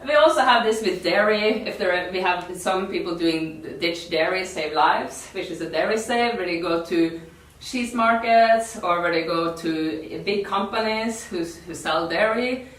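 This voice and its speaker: A woman from Norway with a Norwegian accent gives an informal workshop talk in non-native English, halting and repeating words. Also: on the low side. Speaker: activist workshop speaker. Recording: echoey room, distant mic.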